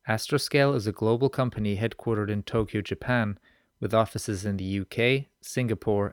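A man's voice: lowish voice, smooth, no room sound, studio quality. A man hosting a podcast, presenting and interviewing. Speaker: podcast host. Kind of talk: scripted podcast introduction and interview.

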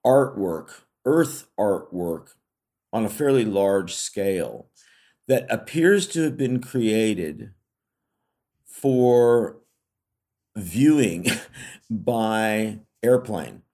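Low-voiced man, musing and rambling. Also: animated, very slow, pauses often, dark tone. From California. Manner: rambling, reflective, many fillers and restarts. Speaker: podcast co-host. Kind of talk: casual conversation.

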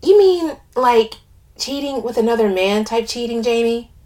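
Lady doing a loudmouth dramatic gal pal, swears tons, super animated and high, audiobook dialogue. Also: normal speed, close to an everyday voice. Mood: incredulous. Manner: sweary, exclamatory, stammers when flustered. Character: brash, foul-mouthed, dramatic woman friend, alarmed and blunt.

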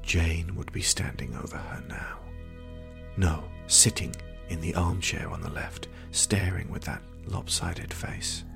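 A man narrates gothic horror in a very low, creaky voice. He is hushed and grave, taking his time over the scripted lines, with music clearly playing behind him. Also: unhurried.

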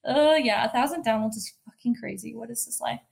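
A woman's voice: on the high side, fairly quick, creaky, a little mellow. A young woman in her twenties with a nasal voice, co-hosting a podcast in casual chat, swearing and laughing often. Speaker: podcast co-host.

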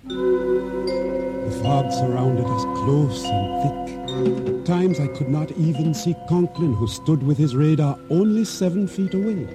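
An actor playing a wary boat owner who tells his tale gravely and deliberately in a radio drama. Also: fairly high pitch, animated. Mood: weary, watchful. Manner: deliberate, solemn, measured storytelling. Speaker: narrator and lead actor. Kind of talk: radio drama acting, first-person storytelling. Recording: radio drama with faint music underneath.

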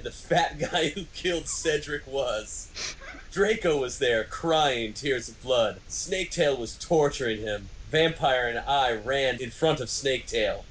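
A man's voice: medium pitch, a bit bright, a little hiss or hum, rough recording. A man co-hosting a podcast with a mock-dramatic reading, theatrical, amused and prone to laughing. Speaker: podcast co-host.

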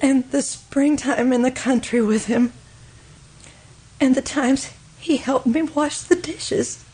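A woman acting a grieving, fragile widow in an old-time radio drama, speaking in a halting, wistful and sorrowful way. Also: high voice, steady tone, mellow, husky, full character voice. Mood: wistful grief.

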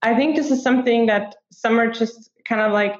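A woman's voice: a little high, normal speed. A woman in her twenties with an Austrian accent, chatting informally as an interview guest and peppering her answers with filler words like "like". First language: German. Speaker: podcast guest, app marketer. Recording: muffled, roomy remote recording.

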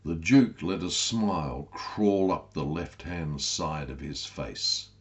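A man's voice: extremely low pitch, slow pace. A man reading a comic story as a scripted narration, in a wry, literary manner. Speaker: author reading own story.

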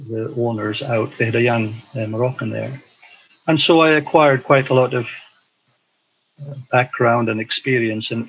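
An older man reflecting on his past in an interview, speaking in a hesitant, halting way. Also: medium pitch, fairly bright tone, dull audio, a little hiss or hum. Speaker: interview guest, former teacher abroad. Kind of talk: recollection in an interview.

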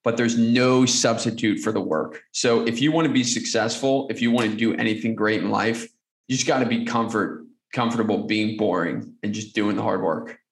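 A man with a gravelly, low-ish voice speaks earnestly as a podcast guest, giving motivational answers. His voice sits a bit lower than most men's, and the room around him is echoey. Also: fairly even intonation, moderate pace. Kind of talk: conversational interview answers.

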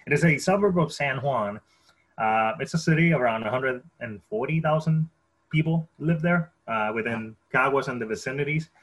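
A man's voice: mid-range voice, normal speed, husky, rough recording. A man in his thirties with a Puerto Rican accent, telling his story conversationally as a podcast guest, often repeating words as he goes. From Puerto Rico.

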